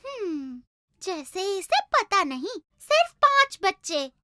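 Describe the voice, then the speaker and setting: A woman's voice: very high-pitched, very animated, takes it slowly, sharp tone, no echo, heavily acted. A woman voicing a small, anxious, clever mother bird in a children's cartoon, fretful and motherly. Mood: wary politeness. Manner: fretful, motherly, cunningly polite. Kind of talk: animated children's story voice acting. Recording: children's music behind.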